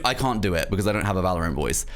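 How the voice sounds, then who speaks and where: Young man, streamer, casual rambling chat, animated pitch, easy laughs. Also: racing. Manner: rambling, lots of "um" and "like", laughs easily.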